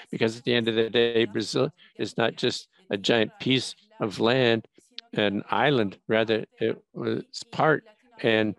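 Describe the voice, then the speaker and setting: A man giving simultaneous interpretation of a panel discussion, talking in halting bursts with restarts and fillers. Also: mid-range voice, creaky, rough recording. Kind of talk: live interpretation of a webinar panel.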